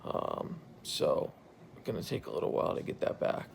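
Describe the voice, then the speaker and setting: A man in his thirties with a hoarse, croaky voice after intubation, speaking tiredly into a phone held at arm's length in a hospital room. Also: moderate pace, a little hiss or hum. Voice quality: gravelly, croaky. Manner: tired, low-key, relieved. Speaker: patient recording a video diary. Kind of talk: casual video journal.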